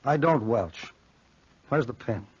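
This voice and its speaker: A troubled young student speaks with earnest, strained feeling. The voice is mid-range and husky, delivered as 1940s radio melodrama on a muffled vintage recording. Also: male voice, mid-range voice, lively intonation, takes it slowly, a little mellow, no echo, full character voice. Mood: desperate, bitter. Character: earnest, anxious young college man, bitter and lovesick. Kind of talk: 1940s radio horror acting.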